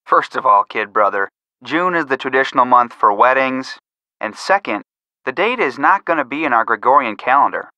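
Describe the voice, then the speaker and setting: An actor playing a sensible young American know-it-all older brother in a detective video game, explaining things in a matter-of-fact way. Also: male voice, medium pitch, lively intonation, normal speed, bright, forceful tone, no echo, lo-fi sound. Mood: correcting.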